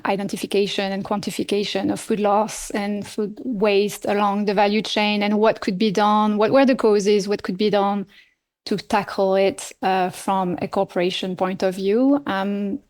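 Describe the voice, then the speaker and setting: A middle-aged French woman, a nonprofit CEO, answering interview questions with a French accent, speaking in a measured way with frequent fillers and restarts. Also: mid-range voice, darkish tone. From France. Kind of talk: podcast interview.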